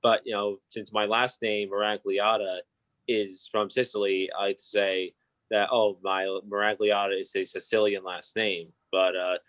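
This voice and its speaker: A young man with a lowish voice chats on a podcast. He hesitates often and fills his pauses with um and uh. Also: little pitch movement, a bit bright, rough recording. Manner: hesitant, lots of ums and uhs. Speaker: podcast co-host. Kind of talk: casual discussion.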